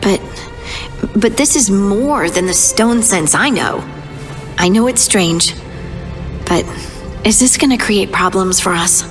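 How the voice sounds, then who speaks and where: An actress playing an earnest, thoughtful young dwarf scout who speaks hesitantly. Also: female voice, mid-range voice, animated, slow pace, pauses often. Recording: game dialogue with music behind.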